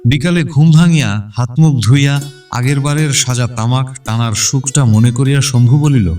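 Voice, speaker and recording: A man's voice: mid-range voice, normal speed, darkish tone, far from the mic. A man reading literary narration in a dramatic, scripted audio-story style. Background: background instrumental music.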